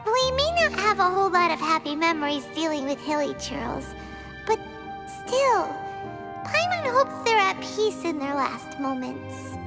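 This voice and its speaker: A tiny fairy-like girl companion in a video game chatters in a very high, bouncing voice. She refers to herself by name instead of saying "I". Game music plays clearly underneath. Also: sing-song, unhurried, full character voice. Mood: somber. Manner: refers to herself in third person, bubbly.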